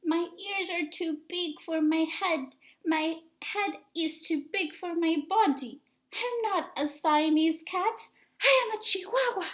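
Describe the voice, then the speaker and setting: A woman voicing an excitable, boastful little boy kitten who plays at being a heroic swordsman, in a dramatic storybook reading. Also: very high voice, slow pace, voice pushed up. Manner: dramatic, exclaiming.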